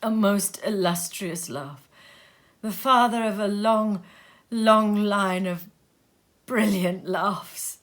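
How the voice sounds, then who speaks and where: A woman reading a classic story aloud at home in her sitting room, with unhurried, expressive storytelling. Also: mid-range voice. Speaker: reader. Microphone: home recording.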